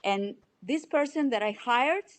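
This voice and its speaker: A woman in her forties from Belarus with a Russian accent, answering interview questions in a halting way with many fillers. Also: on the high side, expressive. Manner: halting, searching for words, frequent fillers. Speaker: CFO, interviewee.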